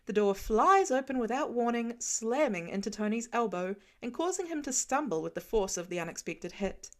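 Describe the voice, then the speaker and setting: A woman narrating a piece of fan fiction as an audiobook, in a steady, gentle storytelling manner. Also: a little high, moderate pace. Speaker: podfic reader.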